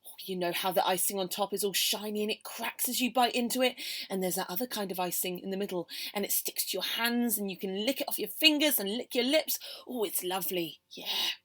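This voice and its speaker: A woman reading a children's poem aloud in a theatrical, expressive storytelling manner. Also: mid-range voice, fairly quick. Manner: theatrical, expressive, with hushed whispers and exclamations. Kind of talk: animated children's poem performance.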